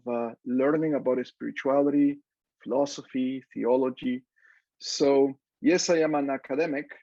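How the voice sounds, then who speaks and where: Man, 40s, Peruvian Spanish accent, husky, dry close sound, earnest panel speaker. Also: medium pitch, a little mellow, no room sound, dull audio. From Peru. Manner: earnest, reflective, frequent fillers and restarts. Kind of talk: panel talk.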